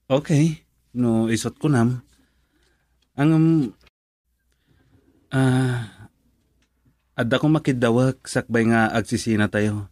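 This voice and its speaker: A man's voice: medium pitch, dry recording, clean studio sound, lightly acted. A male actor with a Filipino accent playing an earnest young man in love, hesitant and pleading, in a romantic radio drama. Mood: hesitant, tender.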